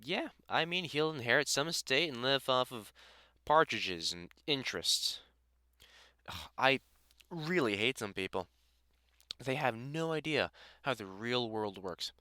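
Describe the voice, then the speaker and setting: A man's voice: mid-range voice, lively intonation, a little slow, bright, forceful tone, no room sound, clean studio sound. A man playing a middle-aged British vampire hunter and carpenter in an intimate audio roleplay, blunt, sweary and teasingly affectionate. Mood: scornful, amused. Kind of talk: audio roleplay acting, intimate one-sided conversation.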